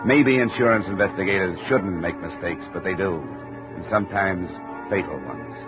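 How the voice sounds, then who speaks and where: A man's voice: deep voice, normal speed, natural voice. An actor playing a hard-boiled insurance investigator, narrating his case in clipped, terse sentences in a 1950 radio drama. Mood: regretful. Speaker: lead and narrator. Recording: muffled 1950 radio broadcast with music.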